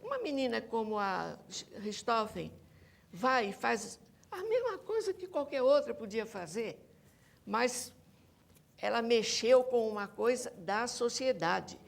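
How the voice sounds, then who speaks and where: Female panel guest, Brazilian accent. High, gravelly voice, insistent academic delivery. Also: lively intonation, slow. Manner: emphatic, insistent, repeats points. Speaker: academic guest, researcher and author. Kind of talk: panel interview discussion.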